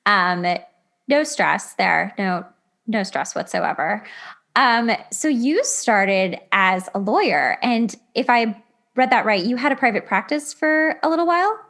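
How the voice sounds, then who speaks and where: A woman podcast host with a fairly high voice and very animated intonation. She chats casually with her guest, asking questions and reacting. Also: a little slow, creaky. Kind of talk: casual interview chat.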